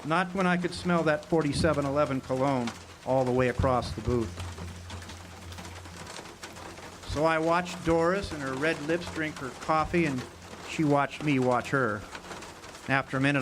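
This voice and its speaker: An actor playing a world-weary drifter, narrating a hardboiled radio drama in a wry, hesitant way. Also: male voice, mid-range voice, normal speed, lightly acted. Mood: smoldering, wry. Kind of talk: noir-style radio drama narration and dialogue. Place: outdoor amphitheater stage. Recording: noisy outdoor live recording with birdsong.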